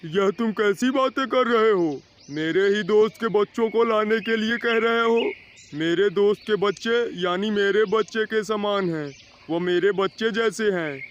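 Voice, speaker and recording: A man voicing a stern, exasperated father crow in an animated moral story, scolding and insistent. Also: high voice, measured pace, dull audio, slight background noise.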